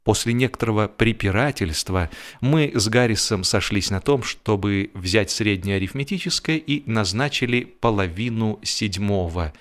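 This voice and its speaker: A man reading humorous fiction as an audiobook narrator, in an expressive, dramatic storytelling manner. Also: fairly low pitch, normal speed. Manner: expressive, acts out the dialogue.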